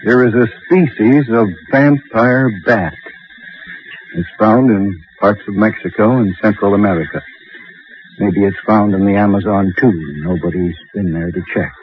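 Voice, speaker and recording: A grave, measured doctor acted by a man explains himself deliberately in a radio play. His voice sits on the low side. The recording is muffled, with faint noise behind it. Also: steady tone, close to an everyday voice. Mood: somber, explanatory. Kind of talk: radio drama dialogue.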